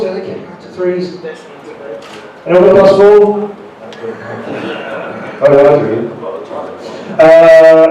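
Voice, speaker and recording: Jokey host in an echoey hall. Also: male voice, fairly high pitch, dark tone, far from the mic, muffled recording. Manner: jokey, chatty, addresses the crowd. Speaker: host and interviewer. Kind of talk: live stage Q&A hosting. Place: convention hall stage.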